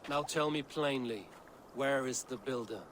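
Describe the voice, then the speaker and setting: A man's voice: medium pitch, a little slow, close to an everyday voice. A man voicing a stern brooding god-king. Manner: grave, commanding, suspicious. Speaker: game character. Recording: game audio with steady noise.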